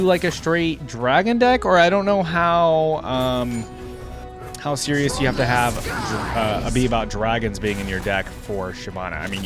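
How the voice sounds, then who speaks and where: A man giving casual gaming reaction commentary as a host, conversational and full of asides and questions to viewers. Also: medium pitch.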